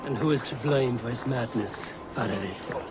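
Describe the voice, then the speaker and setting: An actor playing a stoic, principled Viking warrior in a video game, solemn and restrained. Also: male voice, medium pitch, moderate pace, heavy background noise, natural voice. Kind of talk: dramatic video game acting.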